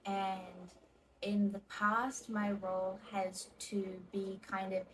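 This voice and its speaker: A woman in her twenties speaking at a mid pitch in a plain, measured way. She answers short introduction questions one by one, and her voice often drops away softly at the end of a phrase. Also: flat delivery, slight background noise, low-quality recording. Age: 20s. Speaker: video creator. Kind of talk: interview-style self-introductions.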